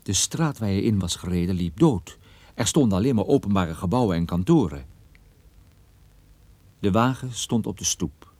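A level-headed private detective in a radio crime play. The voice is on the low side and recorded very dry. He recounts events in a measured, suspenseful way. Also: animated, dark tone, no room sound. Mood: tense, measured. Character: private detective, level-headed, narrating his own case. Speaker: radio drama actor. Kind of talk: radio play dialogue and first-person narration.

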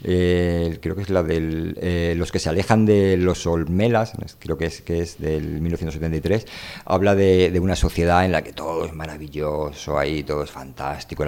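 Low-voiced man, halting casual radio talk. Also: darkish tone. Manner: hesitant, halting. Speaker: radio show host.

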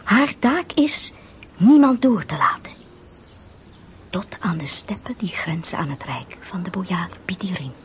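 A woman narrating a fairy-tale radio play in an expressive, dramatic storytelling manner. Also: lowish voice, a little slow, a little hiss or hum.

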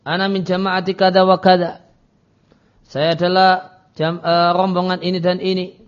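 A man's voice: high voice, level tone, normal speed. A man giving an Islamic lecture as a teacher, reading Arabic phrases and explaining them didactically in a dull, lo-fi recording.

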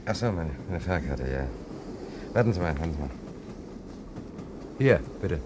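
An actor playing a flustered, evasive fare dodger in a radio play, stammering and repeating himself ingratiatingly. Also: male voice, low voice, lively intonation, normal speed, background noise. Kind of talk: German radio play acting.

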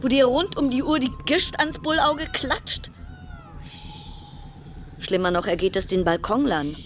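A woman playing a wry, chatty cruise passenger travelling alone, musing with dramatic pauses in a radio play monologue. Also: sits high, a little hiss or hum.

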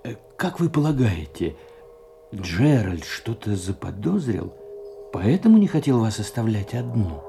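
An actor playing a calm police inspector in an audio drama, questioning in a soothing, probing way. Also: male voice, lowish voice, measured pace, vocal fry, slight background noise, exaggerated voice. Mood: attentive, inquiring. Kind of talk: Russian audio drama acting.

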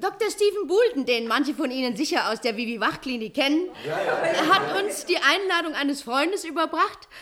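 A woman's voice: high voice, lively intonation, moderate pace. An actress playing a vivacious society hostess in a science fiction radio play, poised and theatrical as she addresses her guests. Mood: excited, inviting. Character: vivacious society hostess announcing party entertainments. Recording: radio drama, loud party crowd and music.

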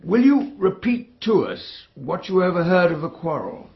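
Formal British coroner, highish creaky voice, measured questioning, stern, radio drama. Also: male voice, sing-song. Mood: probing, insistent. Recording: dull, band-limited radio drama recording.

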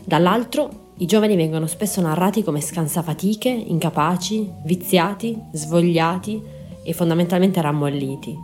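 Mellow young woman narrating, lowish voice. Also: moderate pace, faint music behind. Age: 20s. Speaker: podcast host and writer. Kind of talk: scripted podcast narration.

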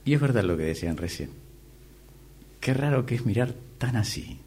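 A man's voice: mid-range voice, expressive, normal speed, darkish tone, husky. A man with an Argentine accent chatting casually in a workshop exercise. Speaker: radio drama workshop participant. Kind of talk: casual chat. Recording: faintly noisy room recording.

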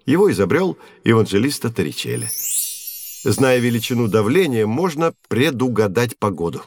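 An actor playing a patient male physics professor in an educational audio drama, explaining clearly and didactically. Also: medium pitch, very animated, moderate pace, darkish tone. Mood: patiently explaining.

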